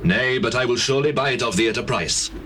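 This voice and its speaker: A man's voice: mid-range voice, fast, sharp tone, lightly acted. An actor playing a penitent, grave king of ancient Israel in a dramatized scripture, speaking in solemn, pleading archaic phrases. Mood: resolute. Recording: noisy old cassette tape.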